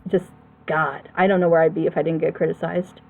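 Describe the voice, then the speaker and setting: A woman's voice: low-pitched, flat delivery, a little fast, gravelly, phone-quality sound. A woman hosting a casual reaction show, giving opinions in an emphatic, rambling way. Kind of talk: casual chat, opinionated commentary.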